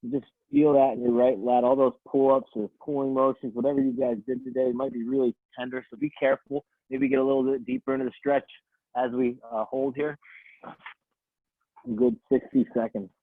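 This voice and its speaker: A man coaching an at-home stretching class, calmly counting down holds and talking in a soothing voice. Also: mid-range voice, normal speed, no room sound. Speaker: fitness coach. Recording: tinny, dry phone-like audio.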